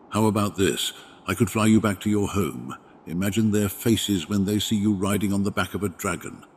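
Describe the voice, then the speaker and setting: A man voicing a wise, protective dragon in an ASMR roleplay, speaking in a formal, measured and reassuring way. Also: low voice, a little mellow, a little hiss or hum, close to an everyday voice. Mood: wryly proud. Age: adult parent with younglings. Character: wise, gentle, protective male dragon mentor. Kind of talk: ASMR roleplay, scripted comforting monologue.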